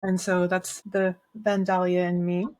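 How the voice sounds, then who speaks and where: A woman hosting a podcast, reading a polished intro and then asking questions in a measured way. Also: fairly low pitch, level tone, darkish tone. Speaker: podcast host. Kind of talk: scripted intro and interview.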